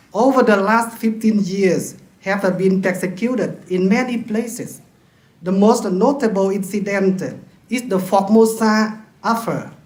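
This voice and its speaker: Vietnamese priest guy, pretty high voice, formal talk, roomy hall sound. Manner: slow and deliberate, formal. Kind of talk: prepared conference speech. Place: large hotel conference hall. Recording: echoey hall.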